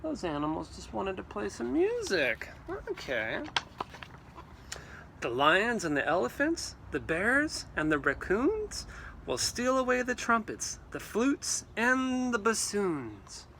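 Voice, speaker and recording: A man reading a storybook aloud to children in an animated, theatrical way, with exclamations and asides. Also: high voice, slow, gravelly, slight background noise. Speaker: read-aloud teacher.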